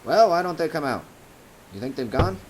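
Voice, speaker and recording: An actor playing a grumpy, tight-fisted, skeptical property owner in a radio drama, curt and grumbling. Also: male voice, on the high side, big pitch swings, moderate pace. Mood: impatient, uneasy. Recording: loud background noise, hum and clicks.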